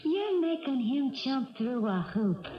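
A crook's inquisitive young girlfriend. Her voice is high and very animated, sharp-witted and prying. The old radio drama sound is dull. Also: slow, exaggerated voice. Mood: curious. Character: nosy, perceptive young female date of a crook. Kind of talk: 1970s radio drama acting. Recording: band-limited old radio broadcast.

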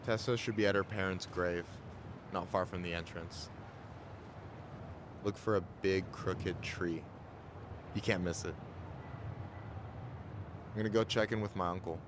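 Young laid-back male friend for a game, lowish pitch, casual delivery, clear ambient vehicle noise. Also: fairly even intonation, a little slow, pauses often. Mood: easygoing. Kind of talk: casual video game drama acting.

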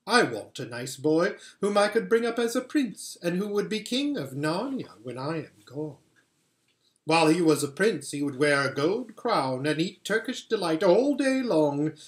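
A man voicing a scheming, regal witch queen from a storybook, sweet-talking and imperious. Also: on the high side.

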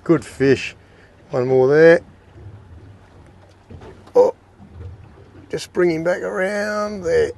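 Excitable fishing host. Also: male voice, on the high side, very slow, creaky, long pauses. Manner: enthusiastic, exclaiming, talks to the fish and his mate. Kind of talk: excited on-the-water fishing commentary. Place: outdoors on a small fishing boat.

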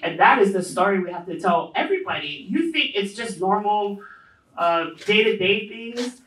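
A woman's voice: medium pitch, lively intonation, normal speed, a bit bright, slight background noise. A middle-aged woman from Hawaii talking informally on a panel, telling anecdotes and quoting people as she goes. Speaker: panelist, self-publishing publisher. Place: indoor panel event room. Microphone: handheld microphone. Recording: roomy echo, distant event sound.

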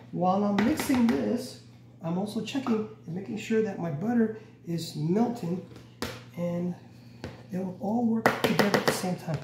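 A middle-aged man walks viewers through a home recipe in a relaxed, instructive tone. He often corrects himself as he goes. He is recorded by a camera across a bright home kitchen, so the sound is roomy, distant and carries background noise. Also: high voice. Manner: relaxed, instructive, self-correcting, repeats "go ahead and". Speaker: home cooking show host. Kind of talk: casual step-by-step cooking demonstration. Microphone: camera mic from across the kitchen.

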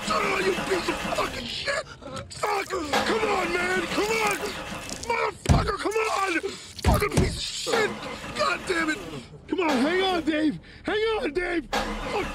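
An actor playing a panicked male police officer who shouts and swears nonstop while his partner is dying. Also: very high-pitched. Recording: loud music over dialogue.

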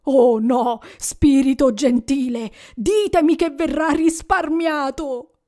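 A woman's voice: a little high, expressive, very slow, warm tone, heavily acted. Woman voicing an old miser begging a ghost. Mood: pleading. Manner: pleading, anxious. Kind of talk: audiobook reading.

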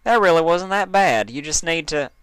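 A sensible female farm pony, put on by a man reading aloud. She explains things in a huffy, matter-of-fact way, with a raised, forceful voice recorded dry and close. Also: a little high, animated, normal speed, smooth, dry recording, pitched above the performer's own voice. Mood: reassuring. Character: practical, self-assured female farm pony, patiently exasperated.

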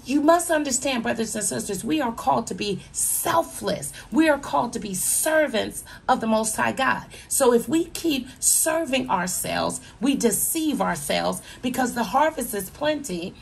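Hoarse, gravelly woman preaching earnestly. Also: a little high, expressive, unhurried, few pauses. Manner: earnest, repeats words for emphasis; speaks straight to the listener. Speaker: minister. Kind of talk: sermon.

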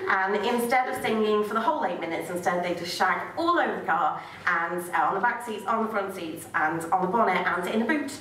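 A woman's voice: medium pitch, lively intonation, brisk, fairly bright tone, recorded from a distance. A young woman telling a comic piece live on stage in a wry, sarcastic manner, with sweary asides. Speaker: spoken-word performer. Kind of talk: comic live storytelling and dramatic reading. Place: on stage in a small dark venue. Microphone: microphone on a stand. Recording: echoey venue from afar, faint noise.

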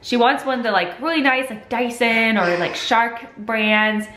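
A woman's voice: a little high, measured pace, fairly bright tone. A woman in her twenties, sniffly with a cold, chatting to the camera as a lifestyle vlogger from her home kitchen. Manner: chatty, gestures a lot with her hands. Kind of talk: casual talk to camera. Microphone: camera at desk distance. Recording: echoey kitchen.

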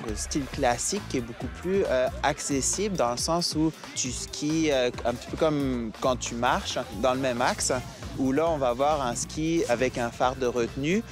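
A man's voice: medium pitch, moderate pace, music in the background. A man with a Québécois French accent explaining cross-country skis and the course with enthusiasm outdoors. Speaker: cross-country ski expert. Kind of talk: instructional explanation. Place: snowy park outdoors.

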